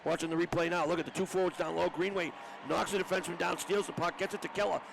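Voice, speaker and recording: A man's voice: sits high, fairly even intonation, fast talker, creaky. A man giving conversational hockey analysis as a color commentator, explaining plays informally to his broadcast partner. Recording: noisy arena broadcast, rink ambience behind.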